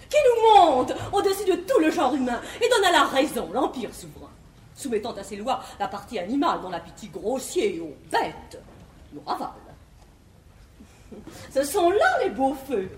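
High, swooping, haughty prudish bluestocking. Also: female voice, a bit bright, full character voice. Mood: exalted preaching. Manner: declamatory, theatrical classical verse delivery. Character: haughty, prudish bluestocking young woman scorning marriage. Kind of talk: 1950s French classical stage comedy acting. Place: large theatre stage with live audience. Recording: echoey theatre stage, faint hiss.

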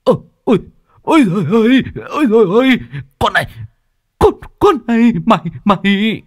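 A man's voice: high voice, lively intonation, moderate pace, voice pushed up. A man voicing a crude, vicious male loan-shark thug in a story, snarling and menacing. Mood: shocked howling.

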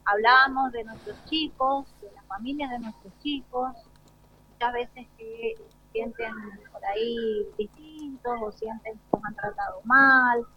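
Woman, Argentine accent, high voice, school director, unscripted interview answers, muffled lo-fi broadcast. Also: a little slow, breathy edge. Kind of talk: spontaneous spoken interview. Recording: dull, lo-fi live broadcast sound.

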